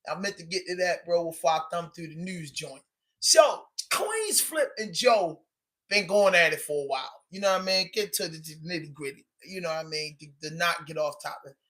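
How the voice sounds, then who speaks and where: A man's voice: on the high side, expressive, moderate pace, fairly bright tone. A man with a Baltimore accent talking casually on a live stream, rambling a little and often asking "you know what I mean" as he urges viewers to drop a comment. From Baltimore, Maryland, US. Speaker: live-stream vlogger and news reactor. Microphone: camera on a tripod.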